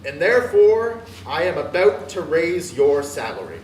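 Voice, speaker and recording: A man reading aloud and voicing an elderly reformed miser who is giddy and boisterous, with booming exclamations. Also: lively intonation, moderate pace, reverberant, far from the mic, a little hiss or hum, close to an everyday voice, low-quality recording. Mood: jovial. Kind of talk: dramatic reading of a classic tale.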